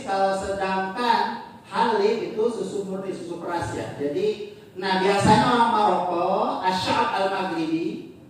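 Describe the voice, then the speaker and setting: Lecturer, chatty and joking, quizzes the audience, slips into Arabic phrases, distant mic in a booming room with faint noise. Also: heavy reverb. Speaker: lecturer presenting slides. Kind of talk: casual classroom talk with slides.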